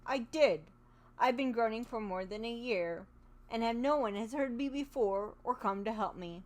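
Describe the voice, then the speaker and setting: A woman's voice: a little high, moderate pace, close to an everyday voice. A woman voicing a polite, grateful male tin woodman who speaks in a mournful, courteous way. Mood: plaintive. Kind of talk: storybook reading.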